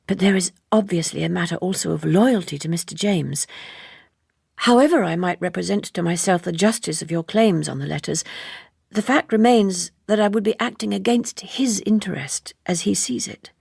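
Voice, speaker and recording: Woman voicing an earnest young woman, husky and creaky. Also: on the low side, normal speed, darkish tone, dry recording, clean studio sound, heavily acted. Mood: earnest, composed. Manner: businesslike, careful, formally polite. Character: earnest, naive young woman of twenty-three, quietly direct. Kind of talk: audiobook character dialogue.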